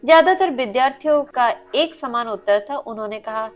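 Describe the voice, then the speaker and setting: A woman's voice: fairly high pitch, normal speed. A woman storyteller reading a scripted inspirational moral story in an earnest, instructive way. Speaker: storyteller and channel host. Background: faint background music.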